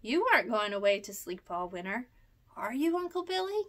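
A woman's voice: mid-range voice, very animated, brisk, fairly bright tone. A woman reading aloud voices an eager, curious young male rabbit.

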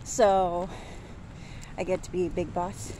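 A woman's voice: fairly low pitch, level tone, slow pace, dark tone. A woman in her thirties chatting casually on a walk-and-talk vlog, rambling with lots of fillers and restarts, filmed on a phone at arm's length outside a store. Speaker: vlogger. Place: outdoors by a store, daytime. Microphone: phone held at arm's length. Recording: outdoor phone recording with traffic noise.

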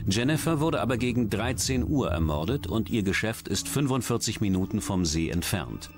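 Deep-voiced, animated male documentary narrator. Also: moderate pace, noisy background. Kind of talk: scripted true-crime narration.

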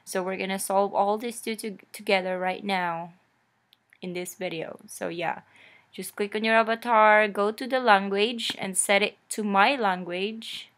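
A woman's voice: medium pitch, fairly even intonation, a little slow, fairly bright tone. A young woman whose first language is Filipino, chattily narrating a screen-recorded tutorial with many fillers and restarts. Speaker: YouTube tutorial host.

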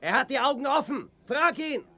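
An actor playing a bold, mischievous young hero in a children's audio drama, with an animated delivery. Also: male voice, very high-pitched, normal speed, full character voice. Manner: urgent, animated. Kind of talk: 1980s German children's audio drama acting.

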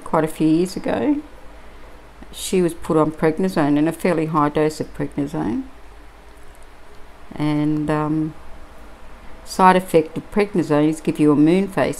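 A middle-aged Australian woman chatting casually and musing aloud, with many pauses and ums, while she crafts at a table indoors. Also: sits low, steady tone, a little mellow, slight background noise, poor audio. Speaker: crafting vlogger.

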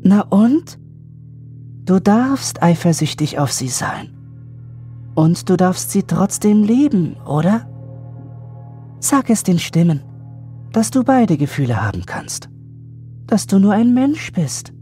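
A man voicing an eerie, expressionless supernatural boy who speaks slowly in a calm, grave, flat way. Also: high-pitched, pitched above the performer's own voice, exaggerated voice. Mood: neutral, reassuring. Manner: flat, emotionless, slow delivery. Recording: background music under voice.

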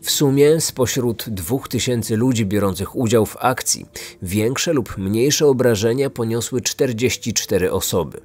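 A man narrating a scripted true-crime podcast in a serious, measured storytelling manner. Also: mid-range voice, darkish tone, breathy edge, soft background music.

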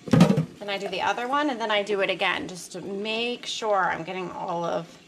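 A woman's voice: medium pitch, normal speed, poor audio. A woman casually explaining her kitchen routine step by step in a plain, practical way with some fillers. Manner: plain, practical, talks while working with fillers. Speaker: homesteader showing her routine. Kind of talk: casual how-to narration. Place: home kitchen at the sink. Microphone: camera mounted above the sink. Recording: running water noise behind.

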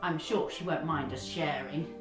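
A woman playing an excitable, kindly young girl from a fairy tale, with gasping, exaggerated delivery in interactive children's theatre. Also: low voice, moderate pace, natural voice. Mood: excited, gentle. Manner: breathy gasps, exaggerated expressive delivery. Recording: echoey room with background music.

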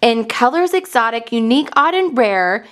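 A woman reading a children's storybook aloud in an excited, expressive manner and enunciating for young listeners. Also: fairly high pitch, normal speed.

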